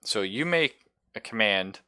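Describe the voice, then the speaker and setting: A man acts as game master at a casual tabletop session. His voice sits a little low and has a bright, forward edge, recorded very dry. He thinks aloud informally and swears now and then. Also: expressive, unhurried, clean tone, dry recording.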